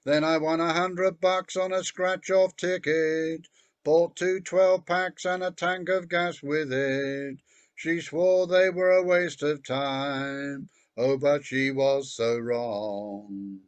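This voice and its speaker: A man's voice: fairly high pitch, fairly even intonation, slow pace, no echo. An older man singing a country song at an online open mic, from a home room on a video call. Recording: dull lo-fi video call audio.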